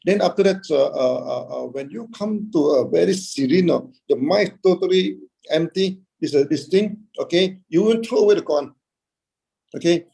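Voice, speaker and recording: A man teaching Buddhism over a video call, in a halting way, filling gaps with "okay" and "uh" and answering his own questions. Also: fairly high pitch, vocal fry, dark tone, slightly rough. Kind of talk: dharma talk.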